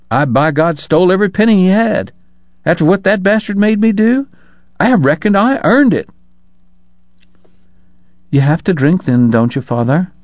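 A man's voice: a little high, a little mellow, no room sound, highs cut off, pitched above the performer's own voice. A man voicing a hard-bitten young frontier woman making a confession in an audiobook, defiant and pleading. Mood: defiant, bitter.

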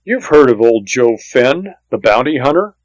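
A man's voice: mid-range voice, very animated, moderate pace, no echo, lightly acted, rough recording. A man voicing a gunslinger's eager, gossipy sidekick in a western audiobook, talking in a folksy, informal way. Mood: eager, boastful.

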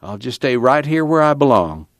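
Stubborn elderly farmer grandpa, played by a male narrator: pitched up, emphatic, plaintive, country dialect, storybook drama. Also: sing-song, moderate pace, no echo, close to an everyday voice. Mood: stubborn, defiant. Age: older. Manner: folksy rural dialect, blunt, emphatic. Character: stubborn, cantankerous old farm grandfather, defiant and plaintive.

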